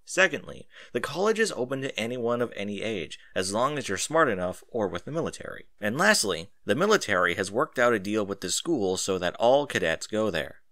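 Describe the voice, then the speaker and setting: A man's voice: lowish voice, very animated, fairly bright tone, dry recording, clean studio sound. A man voicing an easygoing military captain who teases and reassures a youngster in an audiobook story. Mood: reassuring. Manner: teasing, unhurried reassurance to a youngster.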